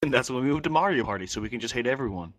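A man's voice: mid-range voice, animated, racing, fairly bright tone, dry recording. A man co-hosting a casual gaming podcast with dry self-deprecating jokes. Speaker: podcast co-host. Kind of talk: casual chat.

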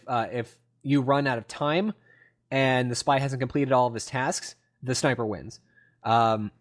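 A man chats as a podcast host in a casual, unscripted style. He has a middling pitch and explains things enthusiastically, wandering a little as he goes. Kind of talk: casual gaming podcast chat.

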